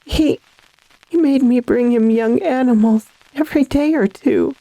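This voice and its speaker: Woman acting a slow-speaking haunted young heiress. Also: high voice, creaky, warm tone, no echo, rough recording. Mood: halting, haunted. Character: frightened, dazed young heiress freed from a vampire's spell. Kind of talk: classic radio horror drama acting.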